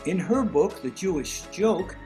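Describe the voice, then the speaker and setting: A woman's voice: fairly low pitch, expressive, unhurried, mellow, soft background music. A woman narrating scripted introductions as a presenter, recorded on a video call.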